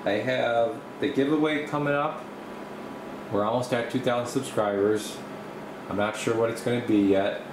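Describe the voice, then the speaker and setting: A man giving a casual, off-the-cuff workshop tour as a video host, rambling and thinking aloud, speaking from behind the camera. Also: mid-range voice. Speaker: video host, radio repair technician. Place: small indoor home workshop. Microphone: camera mic, speaker behind camera. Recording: roomy, steady air-conditioner-like hum.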